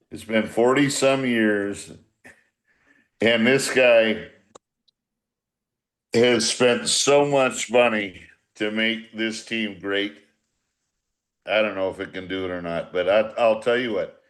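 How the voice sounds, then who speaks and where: A man's voice: fairly low pitch, fairly bright tone. An older man chatting casually on a reunion call, telling stories in a blunt, profanity-laced way. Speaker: former baseball manager, podcast guest. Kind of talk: casual reunion chat, storytelling.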